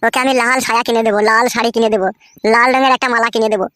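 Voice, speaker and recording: Guy doing a horny lovesick village bachelor, cartoon comedy, high voice, gushes fast and crude. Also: fairly even intonation, bright, forceful tone, no echo. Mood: lustful, yearning. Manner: crude, gushing. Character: lovesick, lustful, foul-mouthed village bachelor.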